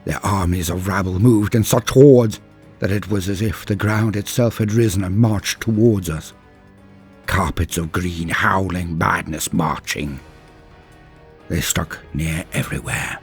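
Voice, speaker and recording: A man playing a grave, embittered space-marine veteran, solemnly narrating a dramatic war story in a husky voice with deliberate, heavy pauses. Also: low-pitched, soft background music. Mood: grave, bitter. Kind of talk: dramatic scripted first-person story narration.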